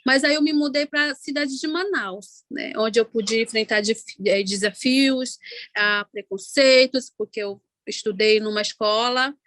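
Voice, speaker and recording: Ticuna-woman singer, unhurried panel talk. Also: fairly high pitch, fairly bright tone, no room sound. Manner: unhurried, many "né" tags and restarts. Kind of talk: panel talk, personal account.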